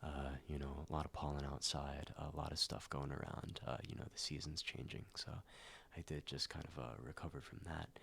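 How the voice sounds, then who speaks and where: Young male ASMR reader, very low and gravelly, congested and a bit nasal, soft and hesitant with frequent whispers. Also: level tone. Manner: soft, slow, many ums and likes, drifting into whispers. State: stuffed up, slightly nasal after a cold. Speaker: ASMR YouTuber. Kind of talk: soft-spoken ASMR reading.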